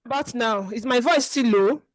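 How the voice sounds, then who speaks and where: A woman's voice: fairly high pitch, a little slow, dry recording. A woman asking an earnest question on a mic, talking her situation through at length. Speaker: webinar host asking a question. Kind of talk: asking a question in an online session. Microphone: separate mic.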